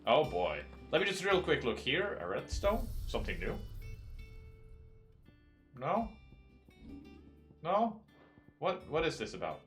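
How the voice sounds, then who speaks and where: A man's voice: fairly high pitch, wildly swooping pitch, takes it slowly, pauses often, a bit bright. A man hosting a casual let's play, chatting, laughing and reading game text aloud. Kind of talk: casual gameplay commentary. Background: game music.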